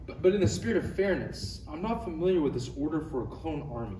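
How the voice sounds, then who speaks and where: A man's voice: a little high, lively intonation, fast talker, lightly acted. A man playing an affable, easily impressed Jedi master in a parody table read. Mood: affable, curious. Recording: echoey room, distant mic, clear noise.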